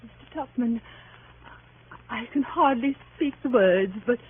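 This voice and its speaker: A woman's voice: a little high, a little slow, lightly acted. An actress playing a coy, demure spinster lady being courted, hesitant and fluttering, in 1930s radio style. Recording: tinny, noisy 1930s radio recording.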